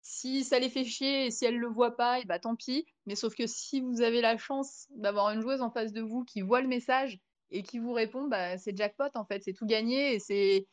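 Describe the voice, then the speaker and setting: A woman's voice: a little high, steady tone, normal speed, few pauses. A young French woman, a podcast guest, telling a long anecdote in casual chat with many fillers and restarts. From France. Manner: chatty storytelling with many fillers.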